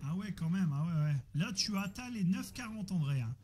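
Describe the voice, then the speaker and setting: A man's voice: high-pitched, lively intonation, brisk, darkish tone. A man chatting casually as an amateur radio operator, hesitant and often repeating himself. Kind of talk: casual radio chat, technical troubleshooting. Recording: noisy amateur radio audio with static.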